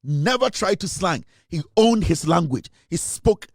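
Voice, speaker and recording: High-voiced Ghanaian man, emphatic. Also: expressive, a little slow, no room sound, clean studio sound. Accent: Ghanaian English. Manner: emphatic, repeats points for stress. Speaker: online commentator. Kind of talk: opinionated commentary monologue.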